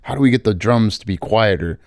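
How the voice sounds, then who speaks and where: Smooth-voiced low male podcast host. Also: no room sound, studio quality. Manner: joking, quick with teasing asides. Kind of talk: casual interview chat.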